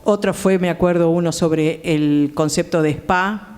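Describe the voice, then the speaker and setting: Female panel guest, Rioplatense accent, halting informal explanations, reverberant theatre acoustics. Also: lowish voice, darkish tone, smooth. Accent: Rioplatense Argentine. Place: theatre, live conversation.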